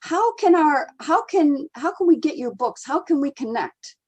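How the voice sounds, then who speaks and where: A woman's voice: sits high, expressive, normal speed, darkish tone, dull audio. A woman hosting an interview, agreeable and affirming toward her guest. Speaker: interview host.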